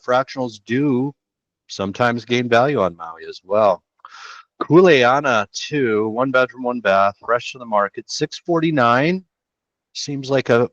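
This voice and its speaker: A man's voice: lowish voice, fairly bright tone, dry recording. Laid-back slangy man on a headset. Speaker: real estate show host. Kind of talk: casual listing commentary. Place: small room at a desk. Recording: dull dry home recording.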